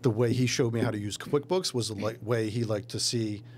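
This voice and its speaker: Fast-talking, blunt man host. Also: on the low side. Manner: relaxed, blunt, swears casually. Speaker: podcast host. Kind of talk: casual chat. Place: small studio with painted mural. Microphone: boom mic on arm.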